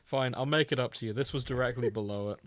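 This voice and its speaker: British guy podcast host, casual jokey rambling, mid pitch, no room sound. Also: quick pace, no echo. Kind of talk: casual comedic chat.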